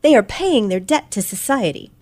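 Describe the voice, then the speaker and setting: A woman's voice: fairly high pitch, lively intonation, normal speed, darkish tone. A woman playing a self-assured, precocious teenage girl detective in an old-fashioned radio play, poised, smug and formal. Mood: self-satisfied, playful.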